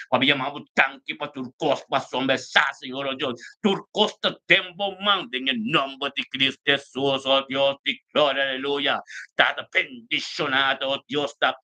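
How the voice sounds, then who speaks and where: A man's voice: mid-range voice, pushed and bright. A man preaches in fervent, repetitive prayer, calling out exclamatory invocations as he leads a live online service.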